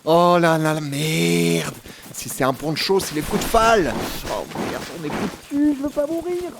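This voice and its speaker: A man's voice: on the high side, animated, a little slow, creaky, noisy background. A man playing an easily rattled, sentimental travel companion in a comedic audio drama. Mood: panicked.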